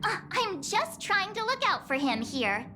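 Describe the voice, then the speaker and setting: An actress voicing a bubbly, cheeky young woman adventurer in a video game, bright and playful. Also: extremely high pitch, sing-song, unhurried, full character voice. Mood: perky. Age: young adult. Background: game soundtrack music.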